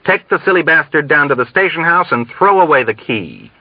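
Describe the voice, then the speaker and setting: A man's voice: a little high, lively intonation, brisk, no echo, phone-quality sound, pitched above the performer's own voice. A man reading a novel as a hostile male airman who mocks and threatens coldly. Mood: threatening. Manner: cold, mocking, repetitive.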